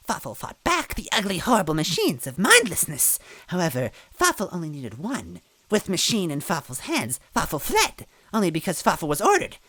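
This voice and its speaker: A woman's voice: fairly low pitch, fairly bright tone, dry recording, pitched above the performer's own voice, full character voice. A woman voicing a short, vain, servile bean-man henchman who declaims dramatically in a storybook reading. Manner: dramatic, pompous, speaks of himself in third person.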